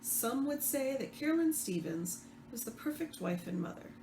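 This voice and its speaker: A woman reading fiction aloud as the author, expressive, with the odd stumble. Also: sits high, normal speed. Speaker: author reading own book. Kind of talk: read-aloud fiction narration. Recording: roomy echo, faint background noise.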